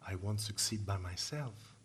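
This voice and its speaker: A storyteller voicing a patient village woman in a hushed, near-whispered voice, dropping well below his usual pitch. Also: normal speed, slight background noise, natural voice. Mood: quiet. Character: patient, hopeful village woman, speaking softly.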